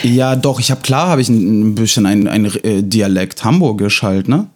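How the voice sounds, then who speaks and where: A man with a light Russian accent, a native Russian speaker, co-hosting a casual podcast chat in a halting, self-ironic way with many fillers. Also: fairly low pitch. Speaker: podcast co-host.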